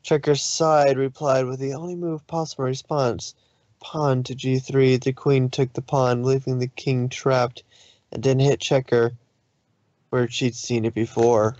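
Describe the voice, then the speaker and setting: A man reading fan fiction aloud as an expressive story narrator. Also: mid-range voice, measured pace, dry recording, highs cut off.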